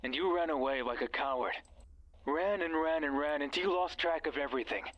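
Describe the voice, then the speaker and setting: A man's voice: a little high, fairly quick, a bit bright, no echo, natural voice, poor audio. Man acting a stern, mysterious guide in horror game. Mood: scornful, alarmed. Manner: stern, ominous, condescending. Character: mysterious, stern, bossy male voice guiding over a radio. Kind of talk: horror visual novel voice acting.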